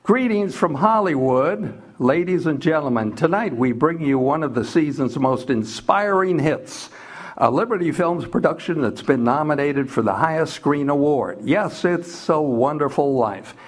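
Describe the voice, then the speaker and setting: A man's voice: on the high side, lively intonation, measured pace. A man announcing and narrating in formal, stately 1940s radio style.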